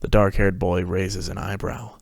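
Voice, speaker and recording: A man's voice: low-pitched, flat delivery, unhurried, creaky, no echo, clean studio sound. A man narrating a scripted story as a podcast host, reading it dramatically.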